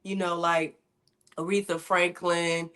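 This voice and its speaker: Lady guest on an interview, lowish voice, real candid and chatty. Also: flat delivery, slow, a bit bright. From Michigan, USA. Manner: candid, conversational, drops into slang. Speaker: guest, singer-songwriter. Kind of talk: casual interview chat.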